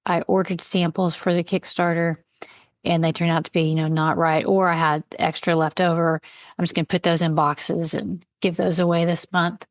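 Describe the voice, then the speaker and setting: A woman hosting a writers' podcast in casual chat, friendly and quick to laugh. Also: lowish voice, flat delivery, no echo. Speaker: podcast host.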